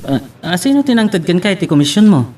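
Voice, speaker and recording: A man's voice: high voice, sing-song, fast talker, darkish tone. A man with an Ilocano accent playing a teasing male buddy in an audio drama. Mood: curious. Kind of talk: Ilocano radio-style drama acting. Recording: faint background noise.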